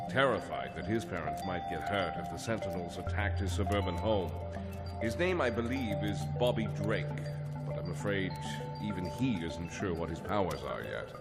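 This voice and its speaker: An actor playing a wise, calm telepath mentor who speaks in a measured, lecturing way. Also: male voice, deep voice. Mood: grave. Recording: long reverb over the voice. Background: music score.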